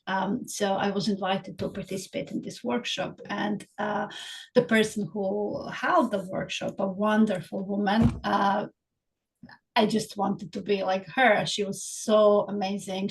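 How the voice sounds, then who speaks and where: A woman's voice: mid-range voice, low-quality recording. A middle-aged woman from Bosnia raised in Serbia, speaking as a psychologist guest in an interview, thoughtful with frequent hesitations and restarts. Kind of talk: interview conversation.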